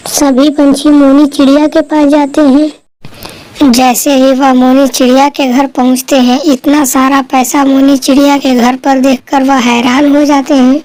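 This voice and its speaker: A woman narrator reads a scripted story for children. Her voice is notably high and her pace is slow. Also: level tone, darkish tone, poor audio.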